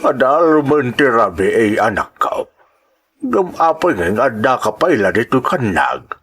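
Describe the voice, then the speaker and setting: A man with an Ilocano accent playing a gentle, caring old father with a husky voice in a radio drama. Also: medium pitch, big pitch swings, unhurried, exaggerated voice. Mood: concerned.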